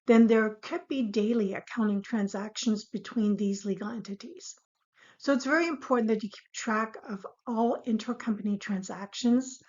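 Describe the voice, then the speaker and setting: A woman instructor giving a scripted, explanatory lesson in a measured, formal way. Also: a little high, darkish tone. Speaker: accounting instructor. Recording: dull, lo-fi voice recording.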